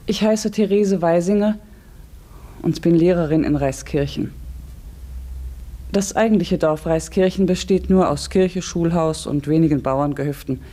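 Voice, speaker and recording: An actress playing a village schoolteacher in a German radio drama, articulate and measured, stern yet gentle. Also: female voice, on the low side, natural voice. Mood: measured, reflective. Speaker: actor in a radio play. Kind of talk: German radio drama, narration and classroom dialogue.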